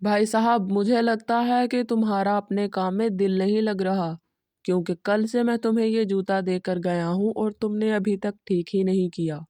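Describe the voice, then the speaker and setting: A woman's voice: medium pitch, fairly even intonation, normal speed, mellow, no echo, studio quality, pitched below the performer's own voice, lightly acted. A woman voicing a rich, demanding male customer who complains bluntly. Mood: complaining.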